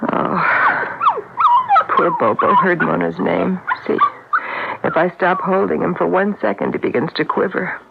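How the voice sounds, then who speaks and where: A woman's voice: lowish voice, fairly even intonation, a little slow, gravelly. An actress in a radio drama playing a grieving, sardonic woman comedian with a wry, wounded delivery. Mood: sorrowful, tender. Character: grieving woman comedian, brittle, sardonic, tender to her dog. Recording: muffled old radio broadcast, faint noise.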